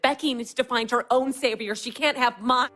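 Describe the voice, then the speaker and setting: Distressed young wife for audio drama, female actor: high voice, insistent, sharp-tongued, pleading. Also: steady tone, moderate pace, over music, natural voice. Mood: defiant. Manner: emphatic, profane, insistent.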